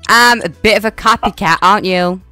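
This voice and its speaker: A woman voicing a cheeky, excitable young son in a family roleplay game. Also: mid-range voice, fairly quick, faint music behind, voice pushed up, lightly acted. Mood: sassy.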